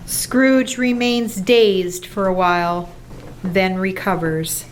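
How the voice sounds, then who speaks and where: A woman's voice: medium pitch, takes it slowly, a little hiss or hum. A woman giving scripted narration for a dramatic radio play.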